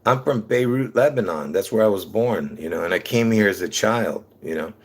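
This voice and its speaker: A man's voice: lowish voice, moderate pace. Rambling man with a New York accent. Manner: repetitive, restarts often, many fillers, calls listeners folks. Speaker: livestream host. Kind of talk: rambling livestream monologue to viewers.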